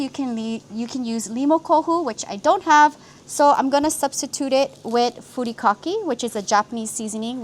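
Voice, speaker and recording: A woman's voice: sits high, slight background noise. Upbeat woman from Hawaii, cooking vlog. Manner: upbeat, explanatory, walks through each step. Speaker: cooking channel host.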